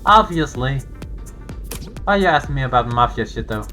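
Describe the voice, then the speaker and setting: An actor voicing a brash, teasing young joker in a video game, animated and full of slangy exclamations. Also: mid-range voice, brisk. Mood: exasperated. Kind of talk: voiced visual novel dialogue. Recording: game audio with music behind.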